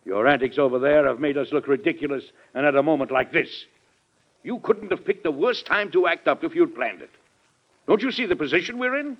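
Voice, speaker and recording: Stern old-radio president, muffled recording. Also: male voice, a little high, lively intonation, a little fast. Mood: stern, sarcastic. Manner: emphatic, formal, scolding. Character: stern, exasperated middle-aged American president and father. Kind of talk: old-time radio drama acting.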